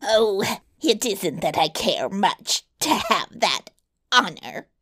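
A woman's voice: mid-range voice, big pitch swings, takes it slowly, gravelly, fairly bright tone, voice pushed up, full character voice. A woman voicing a small, malicious male dwarf in a fairy tale, boastful and sly, with a taunting, mock-courtly drawl. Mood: angry, spiteful. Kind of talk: fairy tale storybook reading.

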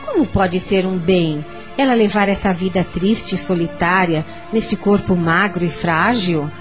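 A woman's voice: on the low side, unhurried, over music, close to an everyday voice. An actress playing an anguished, questioning mother in a Brazilian radio soap, pleading dramatically with a Brazilian accent. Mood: troubled. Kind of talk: radio soap opera acting.

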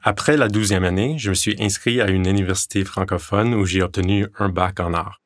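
A man's voice: low-pitched, fairly quick, no room sound, studio quality. A man from Nova Scotia, a native English speaker, giving a formal prepared testimony. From Nova Scotia, Canada. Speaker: interviewee.

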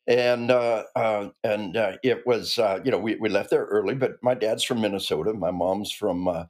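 A man's voice: on the low side, normal speed, a little mellow. A man in his sixties who grew up in the American Midwest, a retired army officer speaking earnestly and emphatically as a podcast guest. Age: 60s. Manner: earnest and emphatic, with frequent restarts. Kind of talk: conversational interview.

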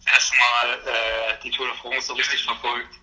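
A man's voice: mid-range voice, flat delivery, moderate pace, pushed and bright. A man in his thirties answering interview questions casually, with many hesitations and fillers, heard over a phone line. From Germany. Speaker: interview guest, pro cyclist.

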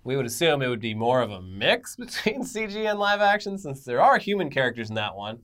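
Animated man co-hosting casual entertainment news. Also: mid-range voice, a little fast. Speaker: co-host of an entertainment news show. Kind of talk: casual news commentary with sponsor reads.

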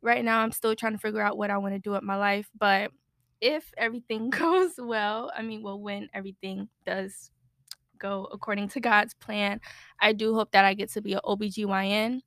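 A bubbly young woman in her twenties co-hosts a casual chat podcast. Her voice is bright and forceful, a little nervous and eager. She sounds close and dry, with no room around her. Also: medium pitch, normal speed, dry recording. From United States. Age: 20s. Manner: excitable, bubbly, chatty, a bit nervous. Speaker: podcast co-host.

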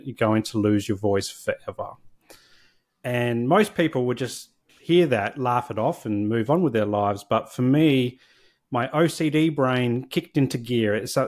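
Candid man telling his story. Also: medium pitch. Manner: candid, reflective, with frequent restarts. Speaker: podcast guest. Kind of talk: personal storytelling interview.

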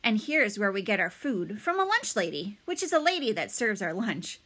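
Woman reading as eager, bright schoolboy buddy. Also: mid-range voice, very animated, normal speed. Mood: eager, helpful. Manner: earnest, explanatory.

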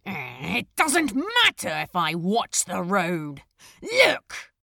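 A woman's voice: medium pitch, huge pitch swings, very slow, gravelly, sharp tone, full character voice. A woman playing an exasperated, volatile demon man who splutters indignantly, in a fan audio drama.

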